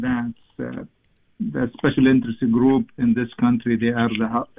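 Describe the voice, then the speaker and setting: A man talks as a guest in an interview, his gravelly voice coming through a narrow, phone-like line. He speaks haltingly, circling back and filling pauses as he goes. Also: medium pitch. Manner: halting, many fillers and restarts. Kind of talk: casual interview talk.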